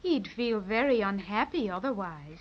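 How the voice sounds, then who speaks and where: A woman's voice: medium pitch, animated, normal speed, close to an everyday voice. A woman playing a gracious, friendly queen in a 1930s children's radio serial. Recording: dull 1930s radio transcription.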